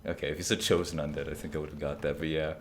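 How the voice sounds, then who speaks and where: Very deep-voiced rambling male streamer. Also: little pitch movement, fast, slight background noise. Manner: rambling, jokey, trailing filler words. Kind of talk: casual chat while gaming.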